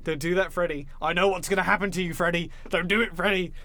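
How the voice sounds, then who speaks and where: Higher-pitched man chatting about films. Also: fairly even intonation, fast, pushed and bright. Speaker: podcast co-host. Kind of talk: casual film news chat.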